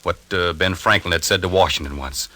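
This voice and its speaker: Haunted husband, indignant, obsessive, fairly low-pitched, piercingly tense, old radio drama recording. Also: male voice, a little fast, bright, forceful tone, no echo. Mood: peevish, irritable. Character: agitated, haunted husband obsessed with a dream enemy. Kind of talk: 1940s radio suspense acting.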